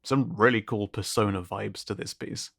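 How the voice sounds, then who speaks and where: A man's voice: lowish voice, fairly even intonation, normal speed, gravelly, fairly bright tone, no room sound, studio quality. A man presenting a showcase as its host, in scripted commentary that is appreciative and descriptive. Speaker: host of an art contest showcase.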